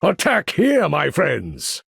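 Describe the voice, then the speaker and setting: A proud older mutant commander in a video game, slow and deliberate, with a husky rasp. He speaks with formal, theatrical grandeur and lofty disdain, swinging widely in pitch. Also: huge pitch swings, a bit bright, no echo, clean studio sound, full character voice. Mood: urgent, ordering. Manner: formal, theatrical, measured, condescending delivery. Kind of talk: video game character voice lines.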